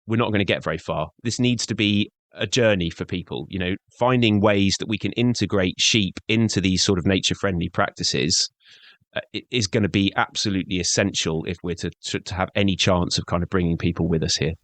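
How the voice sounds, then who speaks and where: A middle-aged man with a low voice speaks earnestly as an interview guest about his conservation work. His delivery is conversational, with many "you know" fillers, and the recording is dry and close. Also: a little fast, fairly bright tone, no echo. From born in Scotland, grew up in Devon.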